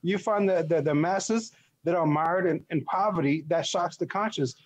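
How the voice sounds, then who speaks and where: A man from Ethiopia explaining his views as an interview guest, haltingly repeating words as he builds formal phrases. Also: a little high, dry recording. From born in Ethiopia. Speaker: interview guest, article writer. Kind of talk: thoughtful conversational explanation.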